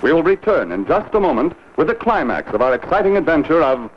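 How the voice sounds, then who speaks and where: A man's voice: on the high side, big pitch swings, fast. A man announcing an adventure program in formal 1950s broadcast style. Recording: dull, faintly noisy vintage TV soundtrack.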